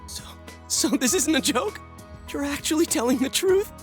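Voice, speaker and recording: An actress playing an emotional, outspoken teenage girl in an English video game dub, with an earnest, expressive delivery. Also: high voice, normal speed, soft background music. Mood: stunned disbelief.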